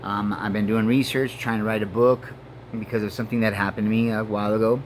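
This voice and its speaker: A man in his forties from Mexico and Colorado, a native Spanish speaker, talking in a halting, earnest personal monologue full of fillers and restarts. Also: lowish voice, little pitch movement, a little hiss or hum. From Mexico and Denver, Colorado. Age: 40s. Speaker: vlogger. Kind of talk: rambling personal monologue to camera.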